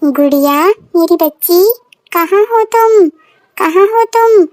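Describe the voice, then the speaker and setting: An anxious, loving village mother from a children's cartoon, voiced by a woman. She is tender and fretful, with a high voice in a very dry recording. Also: animated, slow, darkish tone, dry recording, heavily acted. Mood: worried, searching. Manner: fretful, tender, repetitive calling. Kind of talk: children's cartoon story acting.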